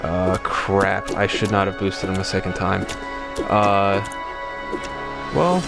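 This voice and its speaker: A man with a deep voice giving relaxed, rambling commentary as he plays through a game and reads its text aloud. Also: takes it slowly, creaky. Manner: relaxed, rambling, many fillers and restarts; reads the game's dialogue aloud in his own voice. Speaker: let's play host. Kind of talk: casual gameplay commentary. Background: game music.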